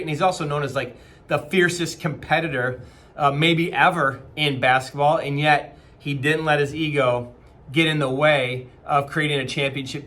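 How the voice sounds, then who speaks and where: Company-leader type, male, forties, bright and slightly high voice, earnest webcam pep talk with lots of fillers. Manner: earnest, conversational, frequent fillers and restarts. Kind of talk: casual motivational talk to camera. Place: small office indoors. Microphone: webcam at desk distance.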